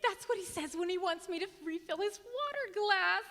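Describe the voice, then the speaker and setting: A doting, sugary sweetheart in a live radio play. Her cooing voice is extremely high and very animated, echoing in a big hall. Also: moderate pace, exaggerated voice. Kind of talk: live radio drama acting. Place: large hall, on stage. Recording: live stage show in echoey hall.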